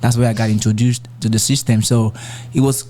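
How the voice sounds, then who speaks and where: A young man with a Nigerian accent talks as a musician guest on a podcast. His delivery is casual and somewhat halting, and his voice sits at a middle pitch. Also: flat delivery, dark tone. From born in Nigeria, raised in Dallas. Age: young adult. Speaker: musician being interviewed. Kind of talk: casual podcast interview talk.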